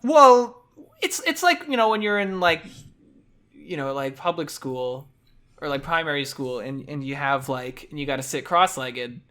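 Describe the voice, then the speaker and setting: A man's voice: on the high side, lively intonation, pushed and bright. Stammering, rambling podcast co-host man. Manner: rambling, stammering restarts, quick laughs. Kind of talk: casual chat.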